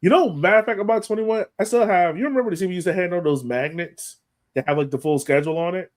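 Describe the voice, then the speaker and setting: An American man talking as a podcast host in casual soccer chat, like an animated fan full of exclamations. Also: high voice, fast.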